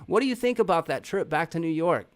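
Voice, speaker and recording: A man's voice: fairly high pitch, lively intonation, quick pace. A man hosting a casual movie review chat, talking in a slangy way with some swearing. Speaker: podcast host.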